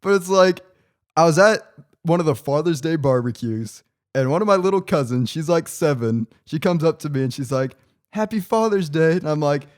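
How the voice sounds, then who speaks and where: A man's voice: a little high, lively intonation. A nineteen-year-old man co-hosting a podcast, chatting casually and telling joking stories. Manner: joking, storytelling with quick retorts. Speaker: podcast co-host.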